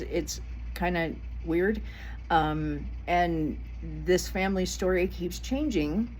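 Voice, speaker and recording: A woman's voice: fairly low pitch, a little mellow, a little hiss or hum, rough recording. A middle-aged woman giving a casual commentary vlog, blunt and indignant, swearing freely. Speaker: vlogger.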